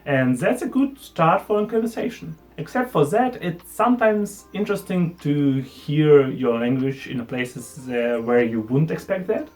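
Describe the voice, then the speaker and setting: A man's voice: fairly high pitch, lively intonation, a little slow, few pauses, a little mellow. Chatty young man with a Ukrainian accent. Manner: chatty, self-deprecating, slightly non-native phrasing. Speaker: vlogger. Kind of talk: casual talking-head vlog.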